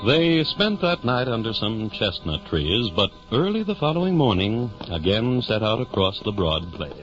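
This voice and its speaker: A muffled old radio recording with music behind the voice of the storyteller. His dramatic narration swings widely in pitch, carrying an adventure tale along. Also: big pitch swings, moderate pace. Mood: animated storytelling.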